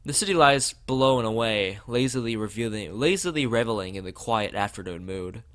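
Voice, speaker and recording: A man's voice: on the low side, a little fast, fairly bright tone. Man reading a visual novel aloud. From United States. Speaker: let's play streamer.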